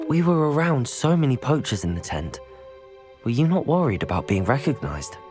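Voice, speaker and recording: A man playing a kind, supportive teenage wizard, speaking gently and politely in video game dialogue. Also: mid-range voice, big pitch swings, moderate pace, soft background music, natural voice. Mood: curious, agreeable.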